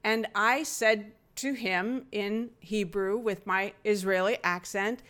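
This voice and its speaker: A middle-aged woman telling personal stories in a relaxed, earnest conversational way. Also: medium pitch, takes it slowly. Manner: earnest, reflective, with fillers and laughs. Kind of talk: conversational storytelling.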